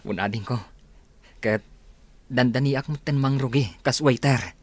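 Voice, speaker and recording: A man's voice: medium pitch, a little slow, close to an everyday voice. A man acting a helpful, good-natured working-class older brother in radio drama, with an Ilocano accent. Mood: easygoing.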